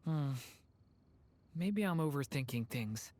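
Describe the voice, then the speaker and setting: A woman voicing a gentle, worried young dragon boy in a video game, pensive and hesitant. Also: low voice, lively intonation, takes it slowly. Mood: pensive, uneasy. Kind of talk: video game character dialogue.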